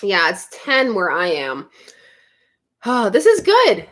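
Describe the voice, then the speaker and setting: A woman's voice: mid-range voice, huge pitch swings, takes it slowly, fairly bright tone. Giggly Canadian woman chatting on a livestream. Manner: giggly and chatty, laughs often, drifts off topic. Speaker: YouTube livestream host. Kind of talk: casual livestream chat reading viewer comments. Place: home studio set.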